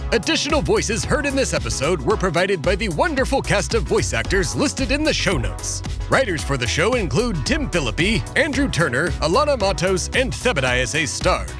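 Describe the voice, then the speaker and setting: A man playing a pompous, theatrical show host in a comedic audio drama, with grand, formal wording. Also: high voice. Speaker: podcast host character.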